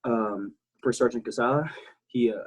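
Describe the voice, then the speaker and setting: A man's voice: medium pitch, normal speed, husky, rough recording. A man in his thirties from South Texas, a military veteran talking as an interview guest, rambling and blunt, with many restarts and fillers. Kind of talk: casual interview talk.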